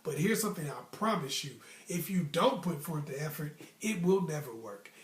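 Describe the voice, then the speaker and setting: A young American man telling personal stories casually and giving motivational advice, with an animated, emphatic, slangy delivery. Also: a little high, moderate pace. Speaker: storytelling host. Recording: roomy, echoey sound.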